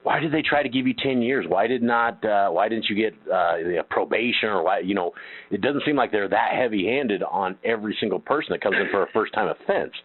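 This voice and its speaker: Low-voiced male interviewer, phone-thin. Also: fairly quick, slightly rough, dry recording, phone-quality sound. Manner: probing, repeats himself while forming questions. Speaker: host.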